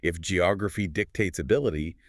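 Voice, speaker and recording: Male podcast co-host, very deep voice, measured explainer, dry close sound. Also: no room sound. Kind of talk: scripted podcast conversation.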